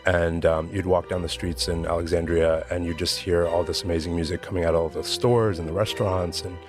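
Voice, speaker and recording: A man's voice: sits low, flat delivery, fairly quick, a little mellow, faint music behind. An American man from Maryland, a podcast guest, talking reflectively with lots of fillers. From Maryland, USA. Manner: reflective, loose, many fillers. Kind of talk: podcast interview.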